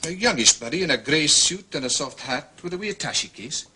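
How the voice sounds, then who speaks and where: An actor playing a methodical, proud Scottish police inspector in a period detective drama, speaking precisely and courteously with a Scottish accent. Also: male voice, a little high, animated, brisk, sharp tone, breathy edge, heavily acted. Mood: quietly confident.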